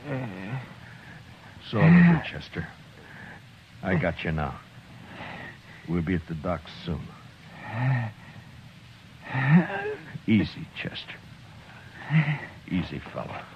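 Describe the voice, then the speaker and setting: An actor playing a stern, quietly grim middle-aged frontier marshal who also narrates, speaking in a low, measured, restrained way. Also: male voice, animated, a little hiss or hum. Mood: tender. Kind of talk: 1950s radio drama acting with narration. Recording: dull vintage radio broadcast, faint music.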